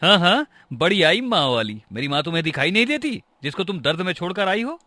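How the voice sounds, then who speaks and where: A man's voice: mid-range voice, animated, brisk, pushed and bright. A man playing an angry young husband who scolds and accuses sarcastically in a family story. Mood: sarcastic.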